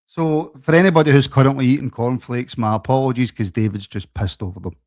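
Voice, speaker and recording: A man's voice: mid-range voice, fairly quick, darkish tone, dry recording, studio quality. Guy cohosting, jokey teasing, regular middle voice. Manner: joking, teasing. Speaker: podcast co-host. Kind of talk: casual football chat.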